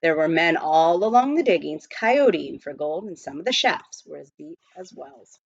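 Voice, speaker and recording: A woman reading a story aloud as scripted, expressive storybook narration, in slightly muffled audio. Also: low-pitched, normal speed, gravelly, husky, no echo. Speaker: audiobook reader.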